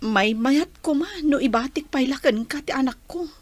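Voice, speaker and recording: High-voiced troubled young mother. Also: animated, moderate pace, no echo. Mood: troubled, hesitant. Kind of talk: radio drama acting.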